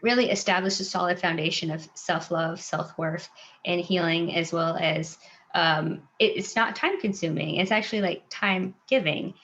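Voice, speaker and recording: A woman life coach speaking as a guest on a panel, soft-spoken and reflective, pausing often with "um" and "like.". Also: fairly low pitch, steady tone. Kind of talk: casual panel chat. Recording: dull lo-fi audio.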